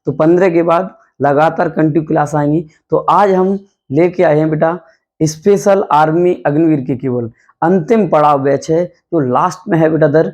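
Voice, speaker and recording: A man with a hoarse voice, a coaching teacher running a quick quiz-style revision lesson, calling his students "beta" and repeating each question and answer. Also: a little high, darkish tone. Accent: Hindi. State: hoarse from night classes.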